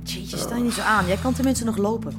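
Actress as sharp-tongued, scolding young woman. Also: mid-range voice, brisk, loud music behind the voice, natural voice. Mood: indignant. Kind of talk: radio drama acting.

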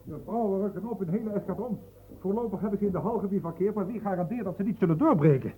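A man's voice: sits high, quick pace, phone-quality sound, a little hiss or hum, lightly acted. An actor playing a precise, matter-of-fact male secretary reading out accounts in a radio play. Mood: urgent. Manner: matter-of-fact, reads lists carefully.

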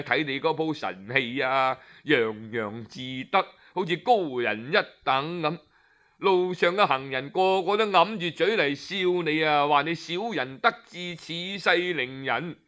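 A man voicing a coachman's sharp-tongued wife, scolding in Cantonese with deliberate, reproachful emphasis. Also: fairly high pitch. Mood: scornful reproach.

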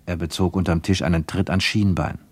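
A man with a low voice narrates a radio travelogue in a measured, wryly ironic tone. The studio sound is dry and close, with no room around him. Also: fairly even intonation, a little mellow, no room sound. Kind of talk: scripted literary narration; 1950s radio play.

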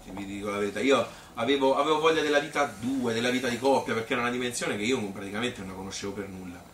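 A man with a Tuscan accent playing a chatty working-class woman who reminisces in a rambling, halting stage monologue. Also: medium pitch, a bit bright, natural voice. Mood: confiding. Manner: rambling, colloquial, halting with repetitions. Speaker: solo stage storyteller. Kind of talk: spontaneous-sounding theatrical monologue. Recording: roomy, distant, noisy live recording.

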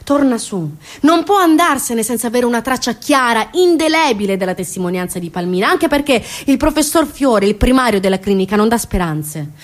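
An actress performing an impassioned theatre monologue as a bitter, indignant storyteller, sarcastic and dramatic, swinging between hushed and loud. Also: female voice, on the high side, animated, fairly quick, hardly any pauses, lightly acted. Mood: grave, sorrowful. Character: bitter, indignant woman storyteller recounting an injustice.

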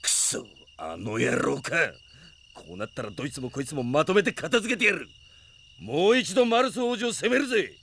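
A male actor playing a rough, ruthless bandit chief in a fantasy audio drama, taunting and coarse, with a gravelly voice. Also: on the high side, very animated, normal speed, a bit bright, full character voice. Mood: scheming menace. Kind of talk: Japanese fantasy radio drama acting. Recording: dramatic music behind the voice.

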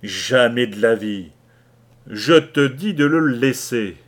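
A man voicing an angry young sailor who shouts at his shipmates with scorn, in an audiobook reading. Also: lowish voice, slow, husky. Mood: commanding.

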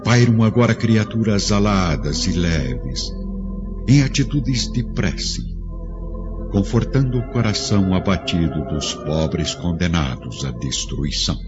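A man narrating a radio drama in a measured, solemn storytelling manner. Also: sits low, animated. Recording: dull lo-fi audio under loud music.

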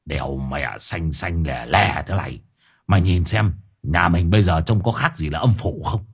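A man voicing a gruff, crude male sidekick who grumbles and curses. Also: low-pitched, moderate pace, voice pushed down, heavily acted. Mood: angry.

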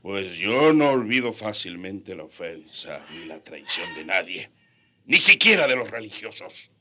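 Forceful, husky colonial captain. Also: male voice, low voice, very animated, measured pace, exaggerated voice. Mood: menacing, insinuating. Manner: commanding, insinuating, mocking. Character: menacing Spanish colonial captain, proud and vengeful. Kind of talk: radio drama acting.

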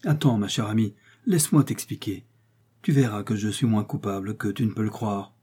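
A man's voice: fairly low pitch, normal speed, mellow, breathy edge. A man playing a ruined, desperate husband. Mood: despairing. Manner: agitated, despairing. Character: desperate middle-aged husband, ruined, guilty and agitated.

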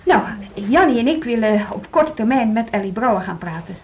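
An actress playing a weary, harried music school director in conversational, naturalistic radio drama dialogue. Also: female voice, a little high, expressive, brisk, a little mellow, a little hiss or hum, lightly acted. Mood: exasperated. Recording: slightly dull radio drama recording.

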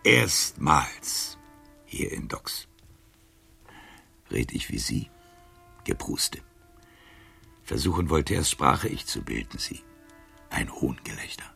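An old, embittered adventurer in a literary radio play. The voice is extremely low and badly husky, full of proud grievance. He declaims theatrically, swinging widely from breathy muttering to outcries. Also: sing-song, takes it slowly, long pauses, pushed and bright, soft background music, full character voice. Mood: indignant, ranting. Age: older. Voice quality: gravelly, husky, breaking into whispers. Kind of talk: literary radio drama acting in verse.

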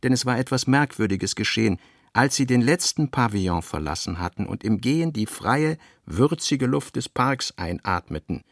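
A man with speech reads literary narration for a radio play in a measured, articulate manner. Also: mid-range voice, animated, vocal fry, dry recording, clean studio sound.

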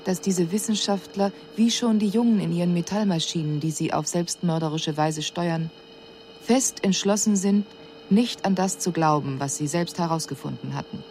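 Woman acting as an alien observer in a radio play, lowish voice, measured and cool, slight background noise. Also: a little mellow, lightly acted. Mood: cool, concerned. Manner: measured, formal, precise. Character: female alien emissary, cool observer puzzled by human inaction. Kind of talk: scripted radio drama report.

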